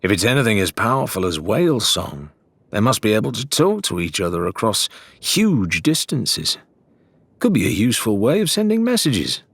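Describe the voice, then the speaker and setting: A man's voice: on the low side, sing-song, moderate pace, a bit bright, slightly rough, no room sound, full character voice. Man voicing a curious, musing adventurer. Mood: intrigued, musing. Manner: musing, analytical.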